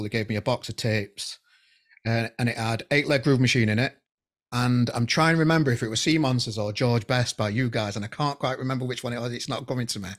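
A man in his forties chats easily as a podcast host, often stumbling and restarting his sentences. His voice sits at an ordinary middle pitch. He speaks into a boom mic on a headset from a room at home, over a video call. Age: 40s. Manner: chatty, halting, many restarts. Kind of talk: casual interview chat.

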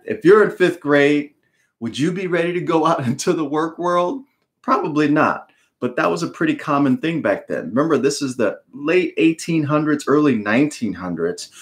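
A middle-aged man with an American accent performs a read-aloud for an audience of listeners. His delivery is emphatic and theatrical, using deliberate pauses and repetition, in a medium-pitched voice. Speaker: author reading his book aloud. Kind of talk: expressive storybook read-aloud with explanatory asides. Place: home room with bookshelves, indoors.

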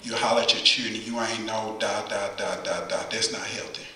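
A man's voice: on the low side, steady tone, brisk, pushed and bright. A middle-aged man preaching a conversational sermon from the pulpit, folksy and repeating phrases for emphasis. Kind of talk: conversational sermon with call and response. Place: church sanctuary at the pulpit. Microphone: far camera, pulpit mic. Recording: echoey church from far camera.

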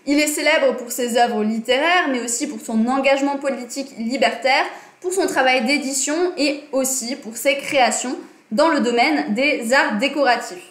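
A woman's voice: high-pitched, normal speed, fairly bright tone, echoey room. A young woman hosting a casual livestream lesson, chatty and full of fillers and self-corrections, talking at her desk in a small room. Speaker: livestream host. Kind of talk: casual livestream talk on design and politics.

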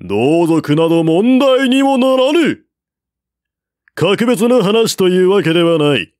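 A man's voice: high-pitched, very animated, slow, darkish tone, dry recording, studio quality, pitched above the performer's own voice, exaggerated voice. A man voicing a proud older male enemy general who declaims haughtily across the battlefield, in a storybook reading. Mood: haughty.

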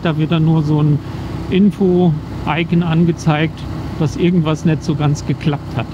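German man talking from his motorcycle. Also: fairly high pitch. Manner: relaxed, rambling with many fillers. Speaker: motovlogger reviewing action cameras. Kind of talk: casual vlog explanation. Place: riding a motorcycle outdoors on country roads. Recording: muffled helmet mic with wind and engine noise.

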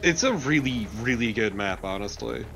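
Mid-pitched man chatting over game music. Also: expressive, moderate pace. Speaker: let's play co-commentator. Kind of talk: casual game commentary.

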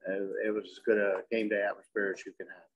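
A middle-aged man, a coach answering questions at a postgame press conference in a measured, halting way, often repeating words. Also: fairly low pitch, flat delivery, lo-fi sound. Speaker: softball coach. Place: indoor press conference room with curtain backdrop.

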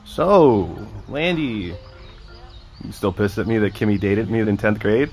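An actor playing a smug, teasing teenage joker in a naturalistic audio drama. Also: male voice, on the low side, measured pace, a little mellow. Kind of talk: naturalistic audio fiction acting.

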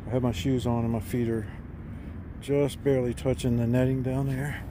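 A man in his forties gives a relaxed, plain-spoken walkthrough of a tent, filming himself on a phone outdoors in a backyard. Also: mid-range voice, normal speed. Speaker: gear reviewer. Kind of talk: casual product walkthrough. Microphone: phone camera, handheld and at arm's length. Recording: phone recording outdoors with background noise.

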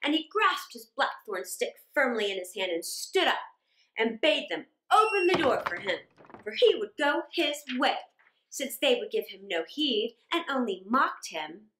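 A middle-aged woman reading a children's story aloud dramatically, with expressive, drawn-out emphasis. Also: on the high side. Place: small indoor room. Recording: roomy indoor echo.

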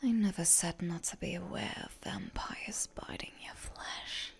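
A woman playing a seductive, predatory female vampire in a whispered roleplay ASMR, teasing and deliberate in a breathy voice. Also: fairly low pitch. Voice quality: breathy whisper with heavy creak.

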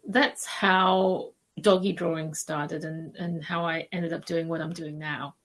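A woman from Malaysia speaking as a podcast guest, telling stories casually with hesitant, conversational pauses. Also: sits low, level tone, fairly bright tone. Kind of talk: casual interview storytelling.

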